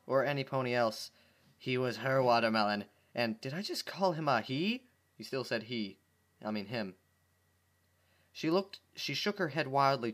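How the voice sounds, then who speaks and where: A man's voice: medium pitch, a bit bright. Informal man reading fan fiction. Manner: informal, exasperated commentary, stumbles over words. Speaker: amateur fan fiction reader. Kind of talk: narration with casual asides.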